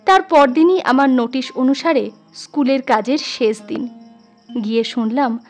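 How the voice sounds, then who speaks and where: High-voiced woman narrating a story, soft music. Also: unhurried. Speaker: audiobook narrator. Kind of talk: expressive literary story narration.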